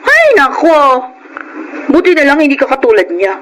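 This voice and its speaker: A woman's voice: sits high, sing-song, moderate pace, a bit bright, a little hiss or hum, low-quality recording. A woman playing a nosy, supportive young friend in a Filipino radio drama, chatty and emphatic. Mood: sympathetic, chatty.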